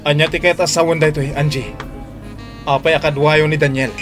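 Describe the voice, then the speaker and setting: An actor playing a jealous, possessive, defensive boyfriend in a radio drama. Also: male voice, fairly high pitch, little pitch movement, normal speed, over music, close to an everyday voice. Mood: jealous, confrontational. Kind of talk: Ilocano radio drama acting.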